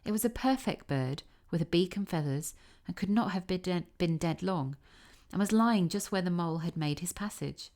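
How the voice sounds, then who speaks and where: Lower-voiced woman narrating a fairy tale. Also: animated, moderate pace, hardly any pauses, a little mellow. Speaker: storybook narrator.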